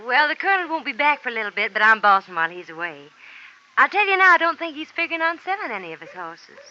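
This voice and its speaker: High, gushing young radio coquette. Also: female voice, sing-song, fast, pushed and bright, heavily acted. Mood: confident, friendly. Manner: gushing, coy, dramatic. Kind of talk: old-time radio drama acting. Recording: muffled, hissy old radio broadcast.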